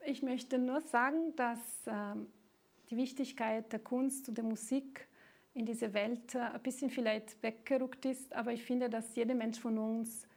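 A woman with a noticeable Italian accent speaks in a voice a touch higher than usual, with a gravelly grain. She answers interview questions hesitantly, restarting phrases and reaching for words. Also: steady tone, normal speed, a little mellow. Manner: hesitant, searching for words, many fillers. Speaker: songwriter.

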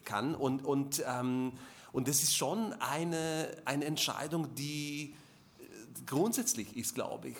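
A man's voice: medium pitch, a little hiss or hum. Hesitant Slovak-born author in his forties. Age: 40s. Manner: hesitant, many fillers and restarts. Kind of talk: panel discussion at a reading.

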